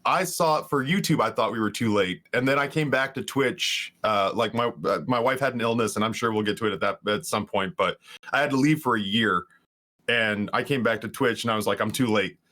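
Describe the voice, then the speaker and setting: A man in his thirties with a baritone voice, a streamer telling rambling, self-deprecating stories as a podcast guest. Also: brisk, bright, forceful tone. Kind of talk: casual podcast chat.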